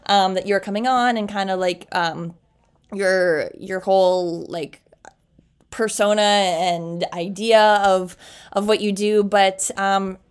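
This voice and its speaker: A woman's voice: mid-range voice, clean tone. Chatty young Canadian woman podcaster. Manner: chatty, giggly, full of fillers and restarts. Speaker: podcast host. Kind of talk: casual podcast chat.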